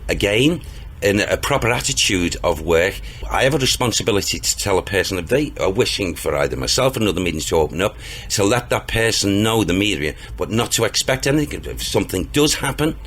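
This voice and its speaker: Male interview guest, booming and low-pitched, very expressive intonation, earnest and rambling. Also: a little fast, a little hiss or hum. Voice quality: booming, deep voice. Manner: earnest, rambling, with hesitations and restarts. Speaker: interview guest, spiritual medium. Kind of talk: casual interview talk.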